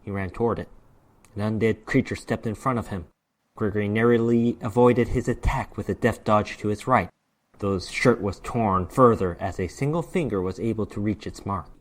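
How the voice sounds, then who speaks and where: A man's voice: fairly low pitch, moderate pace. A man narrating a horror short story as a dramatic reading, with theatrical, emphatic delivery.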